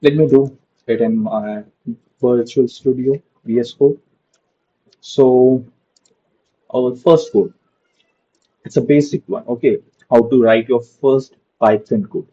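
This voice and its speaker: A man's voice: mid-range voice, fairly even intonation, slow, pauses often, mellow, husky, highs cut off. A young man giving a casual screen-share coding lesson, padding his talk with "okay" and "so". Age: young adult. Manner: loose, repeats "okay" and "so" a lot. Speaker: programming tutor.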